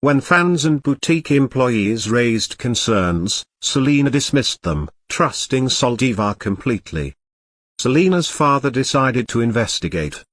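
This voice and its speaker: A man's voice: mid-range voice, expressive, measured pace, a bit bright, no room sound. Man narrating a documentary, dry sound.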